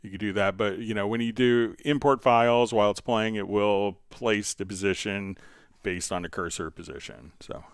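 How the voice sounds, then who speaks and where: A man hosting a live stream, casually explaining software step by step while answering viewer questions. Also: lowish voice, fairly even intonation, moderate pace, hardly any pauses, clean tone, dry recording, studio quality.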